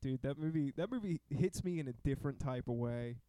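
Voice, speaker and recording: A man's voice: medium pitch, animated, fairly quick, mellow. A man co-hosting a podcast, chatting casually with friends about movies in profane, slangy language. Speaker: podcast co-host.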